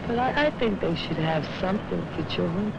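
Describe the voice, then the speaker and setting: A woman's voice: fairly low pitch, animated, fairly quick, vocal fry. A young woman speaking plainly in a candid documentary interview as a mother, repeating her points for emphasis. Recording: dull, very noisy old newsreel film sound.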